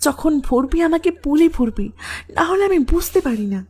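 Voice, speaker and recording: An actor voicing a bossy, irritable, sharp-tongued village wife in a comic cartoon dub. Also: female voice, high-pitched, animated, moderate pace, over music. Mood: scolding.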